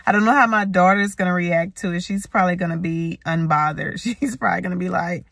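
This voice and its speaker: Bright-voiced American woman vlogging casually. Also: lowish voice, fast talker, gravelly, no room sound, studio quality. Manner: informal, addresses viewers as y'all. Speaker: lifestyle vlogger, host. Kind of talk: casual vlog chat to viewers. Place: parked car and home kitchen.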